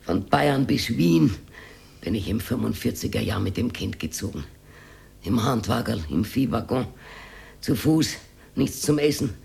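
Husky, rambling old Austrian widow. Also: female voice, rumbling low, animated, unhurried, darkish tone, full character voice. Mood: bitter, weary. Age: older. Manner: rambling, confiding, dropping into whispers. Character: talkative old working-class widow reminiscing about her hard wartime life. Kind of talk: radio drama monologue, naturalistic acting.

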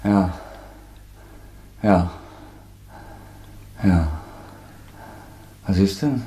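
An actor playing a sickly, evasive young student in a radio play, terse and anxious. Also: male voice, low voice, drawn-out words, dark tone, a little hiss or hum, rough recording. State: faint, sickly. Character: weak, feverish young male student, evasive under questioning. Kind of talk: German radio play acting.

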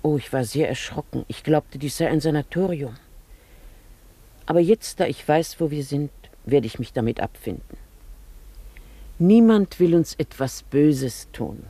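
A woman's voice: deep voice, expressive, a little slow, mellow, dry recording, full character voice. An actress playing a genteel upper-class married lady in a German radio drama, composed and formal in manner, holding on to an elegant past. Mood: resigned, composed.

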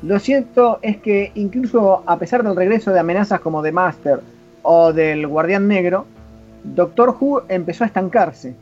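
An Argentine man with a high voice co-hosts a radio-style show. He moves between prepared commentary and casual chat. The sound is somewhat dull, with faint music behind him. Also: lively intonation, moderate pace, a little mellow. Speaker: podcast co-host.

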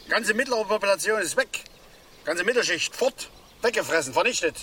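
Grumbling middle-aged father, fanatical angler, lecturing manner, swooping higher-pitched voice, radio drama, faint background noise. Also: expressive, brisk, pushed and bright. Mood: agitated, indignant. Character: cranky middle-aged father, obsessive angler ranting about cormorants. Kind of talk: naturalistic radio drama dialogue.

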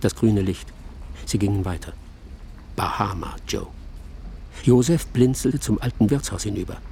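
A man's voice: fairly low pitch, normal speed, creaky, dark tone, slight background noise. A man narrating a literary radio drama in rhythmic, clipped phrases. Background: faint music.

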